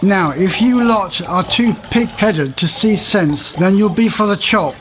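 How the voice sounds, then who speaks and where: A man's voice: high-pitched, measured pace, a bit bright. A man playing a pompous, exasperated old-time manor court judge, declaiming puns in a comic audio drama. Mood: stern, exasperated.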